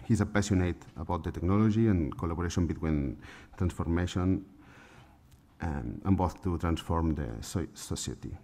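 A man in middle age hosts a panel discussion from a stage table, speaking into a desk microphone. His voice sits very low, with a mellow, dark tone. His phrasing is halting and full of small fillers. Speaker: panel moderator. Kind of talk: introducing panelists at a conference. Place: conference room on a panel stage.

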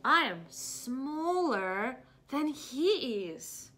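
A woman voicing a small, earnest, excitable animal friend from a picture book, speaking emphatically. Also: high-pitched, drawn-out words, a little hiss or hum, heavily acted. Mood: overwhelmed. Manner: emphatic, exclaiming.

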